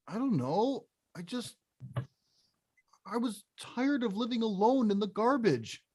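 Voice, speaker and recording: A woman voicing a big, hot-tempered but caring male video game villain in a script read, with a blunt, gruff delivery. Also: fairly high pitch, sing-song, a little slow, warm tone, dry recording, full character voice. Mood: lost, dejected. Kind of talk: animated film script table read.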